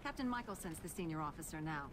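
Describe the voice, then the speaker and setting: A woman playing a serious, brisk young soldier in a video game, matter-of-fact and concerned. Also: sits low, animated, noisy background. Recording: game music over voice.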